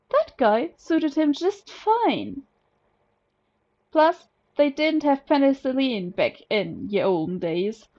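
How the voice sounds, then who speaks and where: A woman's voice: a little high, darkish tone, highs cut off. A woman from Germany, a native German speaker, narrating a scripted story as an amateur audiobook reader.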